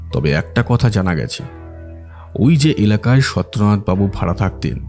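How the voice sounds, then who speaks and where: A man playing a methodical, earnest detective in an audio drama, explaining his reasoning deliberately. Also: fairly low pitch, darkish tone. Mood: matter-of-fact. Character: methodical male detective reasoning through a case, earnest, analytical. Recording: music behind the voice.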